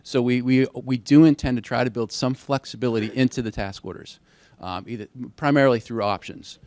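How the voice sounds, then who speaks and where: A man's voice: mid-range voice, fairly even intonation, brisk, darkish tone. A man answering questions as a contracting officer at an industry briefing, careful and hedging, with frequent restarts.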